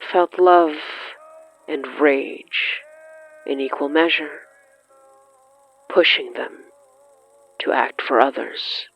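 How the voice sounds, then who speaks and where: A narrator reading scripted dramatic horror fiction in a measured, deliberate way with dramatic pauses. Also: mid-range voice, vocal fry, dull audio. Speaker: narrator of an audio fiction podcast. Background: faint music.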